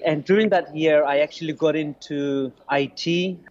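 A man in his forties from Bangladesh, a podcast guest telling his story in a relaxed, hesitant way. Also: on the high side, moderate pace. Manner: hesitant, restarts, many "you know"s. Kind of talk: casual interview answers. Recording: lo-fi muffled sound.

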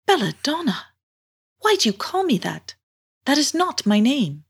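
A woman voicing a gentle, calm mermaid in a storybook, with a soothing manner. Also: high-pitched, a little slow, voice pushed up, exaggerated voice. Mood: puzzled.

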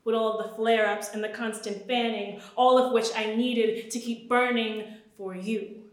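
Woman, spoken word poet, slightly high pitch, deliberate expressive phrasing, roomy echo. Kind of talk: spoken word poetry performance.

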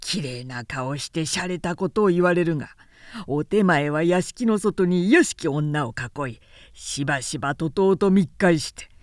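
A woman voicing an irascible old samurai chief retainer in an audiobook, with forceful, pompous, archaic speech. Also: low-pitched, animated, measured pace, dry recording, clean studio sound, voice pushed down. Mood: blustering, accusing.

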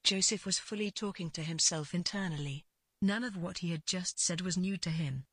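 A woman narrating literary fiction from a script in a measured way. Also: fairly low pitch, fairly bright tone, husky, dry recording, clean studio sound.

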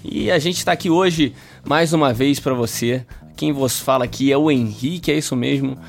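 A man's voice: medium pitch, moderate pace, gravelly, soft background music. A man with a Brazilian Portuguese accent hosting a casual podcast chat, playful and chatty, laughing often. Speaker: podcast host.

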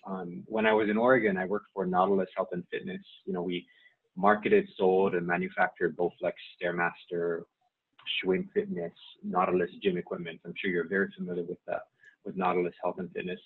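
A man's voice: low voice, brisk, vocal fry, slightly rough. A man in his forties from Saipan, whose first language is Chamorro, talking as an interview guest, hesitant with many fillers and restarts. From Saipan, Northern Mariana Islands. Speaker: guest, nonprofit staff member. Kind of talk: casual interview. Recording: tinny phone-like line.

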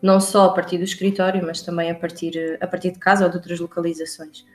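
A woman with a European Portuguese accent co-hosting a podcast, asking questions in a measured, polite way. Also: fairly low pitch, flat delivery, a little mellow, echoey room. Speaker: podcast co-host. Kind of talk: podcast interview.

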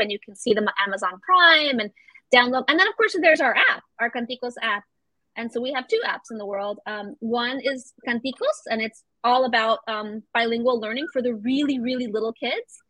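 A woman's voice: fairly high pitch, pushed and bright, dry recording, poor audio. A woman from Venezuela, a podcast guest who talks with enthusiasm and slips Spanish phrases into her English. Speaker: guest, founder of a children's media brand. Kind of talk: podcast interview.

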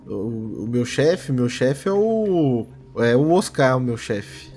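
A man's voice: mid-range voice, very animated, slow, darkish tone. Guy acting as a jittery office worker witness in a tabletop rpg, stumbles and repeats himself, music behind. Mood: anxious, hesitant. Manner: hesitant, stammering, repeats words. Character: nervous male office worker, witness under police questioning.

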